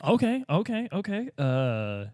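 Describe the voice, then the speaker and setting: A man's voice: high-pitched, huge pitch swings, unhurried, a little mellow, no echo, clean studio sound, voice pushed up, full character voice. A man playing a hesitant, well-meaning police detective who fumbles informally through his questions in a tabletop roleplay. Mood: probing.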